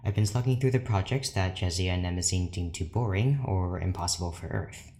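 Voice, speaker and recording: An actor playing an obsessive, lonely, overworked scientist in an audio drama, formal and brooding. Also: male voice, low voice, brisk, warm tone, slight background noise. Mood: quietly driven. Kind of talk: audio drama monologue, diary-like.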